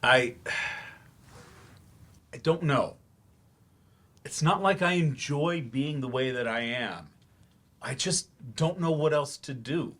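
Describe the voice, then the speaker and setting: A man's voice: mid-range voice, slow pace, pauses often, a bit bright. A male actor in a staged play reading, playing a frustrated, defensive therapy patient who talks in a hesitant, grudging way. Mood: sheepish, uncertain. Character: defensive, frustrated man in therapy, once-promising writer turned teacher.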